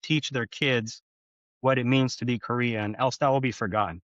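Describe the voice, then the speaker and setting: A man's voice: mid-range voice, fairly even intonation, moderate pace, fairly bright tone, dry recording, muffled recording. A man from the United States, a podcast guest talking in a casual, opinionated way and often checking in with "right?". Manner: opinionated, frequent "right?" tags. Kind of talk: casual conversation.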